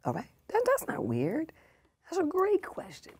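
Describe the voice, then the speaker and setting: A woman in her sixties, a pastor talking as a guest in a casual interview, emphatic and repeating her points, often dropping soft and breathy. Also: high voice, wildly swooping pitch, normal speed. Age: 60s.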